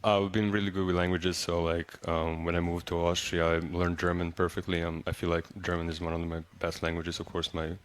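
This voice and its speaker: A young man with a low, deep voice answers interview questions in English, his native tongue being Serbian. He talks in a loose, easygoing way, full of "um" and "like," and often restarts his sentences. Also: fairly quick, few pauses, smooth. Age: young adult. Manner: relaxed, filler-heavy, frequent restarts. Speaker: college basketball player. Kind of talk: casual interview.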